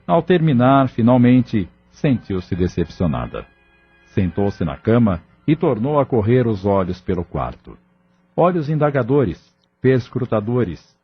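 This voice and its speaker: A man narrating a scripted audio novel in a measured, dramatic storytelling manner. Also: medium pitch, big pitch swings. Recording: muffled, lo-fi track with music. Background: clear background music.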